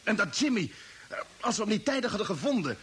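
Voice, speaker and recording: An actor playing a questioning, sceptical spaceship commander in an old radio play, with halting repeated words. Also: male voice, high-pitched, sing-song, fairly bright tone. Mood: puzzled, probing. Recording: hissy vintage radio drama recording.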